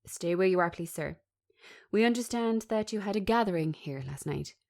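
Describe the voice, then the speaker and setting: A woman's voice: medium pitch, animated, normal speed, creaky, darkish tone. A woman voicing a stern, formal police officer in a script reading, firm and official in manner.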